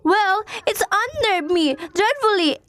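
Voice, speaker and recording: A woman's voice: extremely high pitch, animated, slow, a bit bright, no echo, full character voice. A woman playing an agitated, imperious invalid housewife in an amateur radio drama, insistent and indignant. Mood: alarmed, insistent. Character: panicky, imperious, demanding invalid housewife, shrill and impatient.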